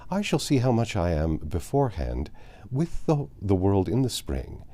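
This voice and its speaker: A man's voice: lowish voice, huge pitch swings, normal speed, dark tone. A man voicing a genteel, optimistic middle-aged mother in a storybook reading, chatty and self-assured. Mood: cheerfully planning.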